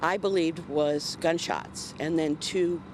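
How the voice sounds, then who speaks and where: A man recounting what he heard as a witness, in a halting, deliberate way, outdoors. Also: on the high side, steady tone, noisy background.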